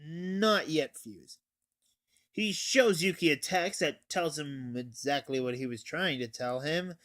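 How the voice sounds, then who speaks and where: Teen guy doing a jokey anime recap, slow, dry, kinda slangy. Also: a little high, a bit bright. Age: teens. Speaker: anime reviewer. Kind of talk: informal comic recap with banter.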